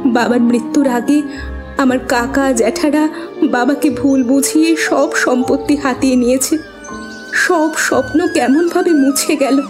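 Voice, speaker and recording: A woman's voice: sits high, unhurried, over music. A woman playing a humble, sorrowful young orphaned village girl in a melodramatic cartoon, with a plaintive manner. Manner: pleading, plaintive.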